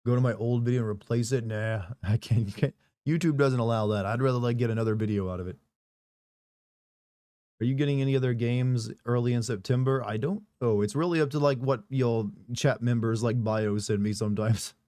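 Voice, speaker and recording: A hoarse man, his voice still coming back, rambles casually through a live stream, reading viewer messages and tossing off jokes. His tone is dark and mellow. The sound is dry and close, with no room echo. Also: mid-range voice, brisk. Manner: rambling, casual, joking asides. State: hoarse, recovering voice.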